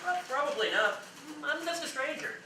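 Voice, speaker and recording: An actor playing a friendly, oddly cheerful stranger who is eager and reassuring, in a staged play reading. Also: male voice, very high voice, animated, moderate pace. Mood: friendly, insistent. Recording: distant mic, echoey room, faint hiss.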